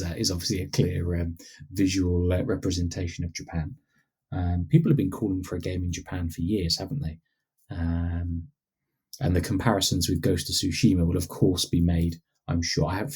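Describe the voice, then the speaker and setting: Man, from England, podcast co-host, very low voice, husky, friendly, laughing. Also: darkish tone. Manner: friendly, laughs often, asks questions. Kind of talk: casual podcast chat. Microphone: lower-quality microphone.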